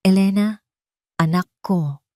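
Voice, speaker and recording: A woman's voice: mid-range voice, slow pace, darkish tone, no room sound, clean studio sound, lightly acted. A woman voicing a dignified elderly tycoon father who speaks with stately authority in an audio story. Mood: tender. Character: dignified elderly patriarch tycoon, commanding yet loving father.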